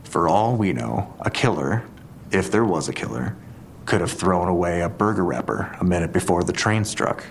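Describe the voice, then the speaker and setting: Male detective, very low voice, deliberate and analytical, audio drama, faint rumble behind. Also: animated, gravelly. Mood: measured, speculative.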